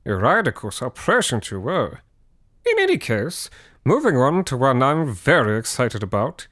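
A man's voice: medium pitch, wildly swooping pitch, normal speed, a bit bright, dry recording, studio quality, voice pushed up. A man voicing an eccentric rambling host character who mixes in German exclamations. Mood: excited.

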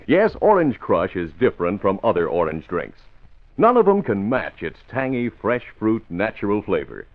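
A man with a deep, lively voice performs as a vintage radio announcer. He reads scripted copy in 1940s broadcast style, with faint music and a narrow, lo-fi sound. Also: moderate pace. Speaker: radio drama narrator and announcer. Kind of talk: 1940s radio scripted narration and sponsor read. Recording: narrow lo-fi old radio recording.

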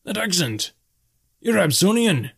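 A man's voice: a little high, animated, fast talker, voice pushed up. A man voicing a friendly, stout northern bartender in a fantasy audiobook, with a jovial, hospitable manner. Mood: amused, surprised. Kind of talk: fantasy audiobook character dialogue.

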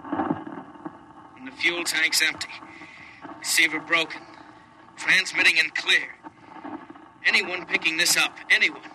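A man's voice: on the high side, fairly even intonation, slow, pauses often. An actor playing a stranded male astronaut calling desperately for rescue over a radio link, in 1950s radio drama style. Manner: urgent, broken-off distress phrases. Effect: radio transmission. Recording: noisy crackling old radio transmission.

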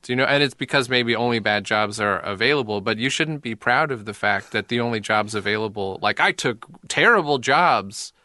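Bright-voiced man in dry sardonic chat. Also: fairly low pitch, a little fast, hardly any pauses, dry recording. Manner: dry, sardonic, laughs often. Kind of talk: casual comedic chat.

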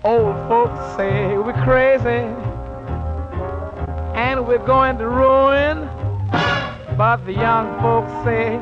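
A woman sings jive swing in long, very slow phrases. A band plays loudly around her voice, and the whole thing has the dull sound of an old radio recording. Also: a little high. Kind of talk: swing jazz singing with jive slang. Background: swing band music.

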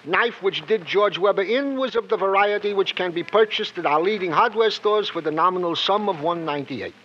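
An actor playing a wordy police sergeant sidekick in an old radio crime drama, florid and mock-formal. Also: male voice, sits high, fairly quick, natural voice. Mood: pompous, dutiful. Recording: muffled, noisy old radio recording.

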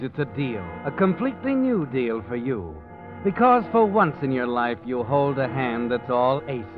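An actor playing a grave second-person narrator in an old radio drama, over music, in a muffled recording. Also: male voice, mid-range voice. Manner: measured, ominous storyteller addressing the hero. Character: grave male narrator speaking to the hero.

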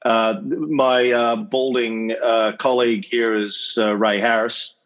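A man's voice: medium pitch, fairly even intonation, slow, a bit bright. A man with an Australian accent giving a casual lecture over a video call as a podcast host, chatty and full of ums and uhs, with wry asides. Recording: slightly dull video-call audio.

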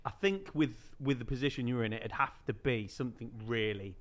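A man's voice: mid-range voice, brisk. A man co-hosting a podcast in casual chat, chiming in with leading remarks and tag questions. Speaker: podcast co-host.

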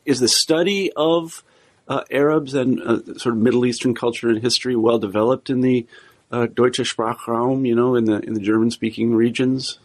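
A man's voice: mid-range voice, fairly even intonation, normal speed. Hesitant male podcast host. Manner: hesitant, restarts with fillers. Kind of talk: interview.

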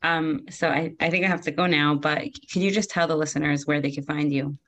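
A woman's voice: sits low, fairly even intonation, a little fast, slight background noise. A woman hosting her podcast, who is also a registered dietitian, welcoming and encouraging her guest enthusiastically.

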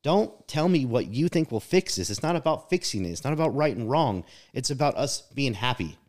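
A man's voice: mid-range voice, animated, brisk, darkish tone. A man co-hosting a casual podcast conversation, talking in a reflective, emphatic way and leaning on "like" and "right?" to drive his points home.

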